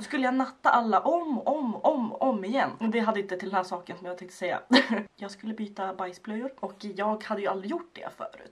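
A young woman vlogging in a casual, playful storytelling way. Her voice is husky and sits at an average female pitch, recorded indoors in a bedroom.

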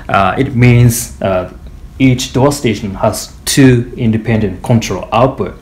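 A man giving an informal product demonstration in a hesitant, halting way with frequent pauses. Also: lowish voice, a little mellow. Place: small indoor office or meeting room. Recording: echoey room, faint background noise.

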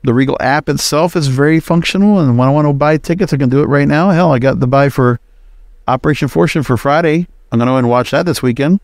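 A man's voice: a little high, fast, dry recording, studio quality. A man hosting a podcast solo with casual, conversational commentary, dropping opinionated asides in short, choppy sentences. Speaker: podcast host.

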